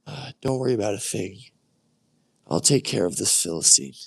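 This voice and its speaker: A man's voice: on the low side, lively intonation, moderate pace, gravelly, breathy edge, dry recording, pitched above the performer's own voice, exaggerated voice. A man voicing an earnest young shepherd boy who defends himself and boasts of his courage. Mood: defiant.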